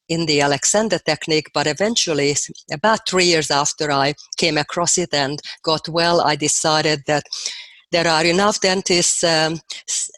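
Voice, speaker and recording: A middle-aged woman with a Hungarian accent, answering interview questions in an earnest, measured way with hesitant fillers. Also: low-pitched, fairly bright tone, no room sound. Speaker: guest dentist and posture teacher.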